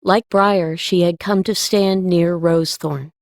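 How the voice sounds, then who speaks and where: A woman's voice: lowish voice, fairly even intonation, a little slow, no room sound, studio quality. A woman narrating a scripted story as an audiobook reader.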